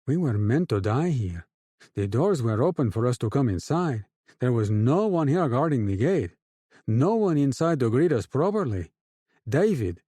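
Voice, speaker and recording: A man's voice: medium pitch, mellow, slightly rough, no echo, clean studio sound, voice pushed up. A man voicing a young, oily male drug lord with a Spanish accent who speeches to his men in a falsely friendly, rousing way.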